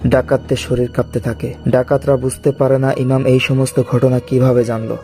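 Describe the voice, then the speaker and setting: Man narrating a horror story, flat even delivery, mellow voice, soft music under it. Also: mid-range voice. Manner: even, measured.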